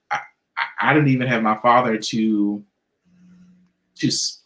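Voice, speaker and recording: A man's voice: lowish voice, a bit bright, poor audio. A man speaking as a podcast guest, telling a personal story in a reflective, hesitant way. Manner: reflective, hesitant, with fillers and restarts.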